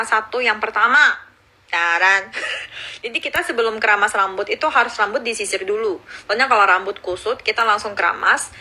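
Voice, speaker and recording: Woman in her thirties, from Indonesia, cheerful livestream chatter, laughs a lot, bright ringing voice, phone mic. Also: on the high side. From grew up in Indonesia. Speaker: livestreaming YouTuber. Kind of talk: casual live chat answering viewer comments. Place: outdoors or at home in Seoul.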